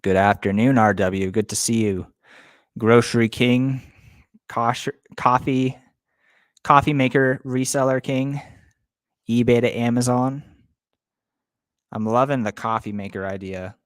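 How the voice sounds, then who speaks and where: A young man hosting a casual live stream, chatting loosely with viewers and filling pauses with lots of ums. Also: medium pitch, dry recording. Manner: relaxed, many ums, greets chat viewers. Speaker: livestream host. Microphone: webcam setup.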